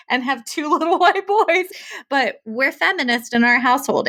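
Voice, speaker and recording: A woman's voice: high voice, fairly bright tone. A woman hosting a casual interview podcast, chatty and effusive, sharing her own anecdotes. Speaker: podcast host, speech-language pathologist.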